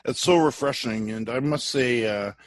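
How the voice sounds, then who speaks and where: Enthusiastic middle-aged podcast host. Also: male voice, medium pitch, moderate pace, dry recording. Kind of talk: podcast interview hosting.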